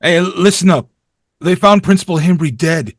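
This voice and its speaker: High-voiced teenage horror geek played by a man. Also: normal speed, no echo, clean studio sound. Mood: shocked. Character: excitable teenage horror-movie geek at a house party. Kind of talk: amateur table read.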